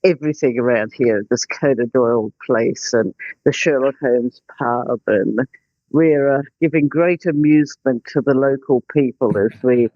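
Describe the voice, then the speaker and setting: A man's voice: mid-range voice, a little slow, a little mellow, no room sound. A man chatting casually on an online call, giving an informal report as a participant. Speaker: meeting participant reporting from a trip. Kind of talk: casual chat. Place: online video meeting. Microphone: video call. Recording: slightly dull online call audio.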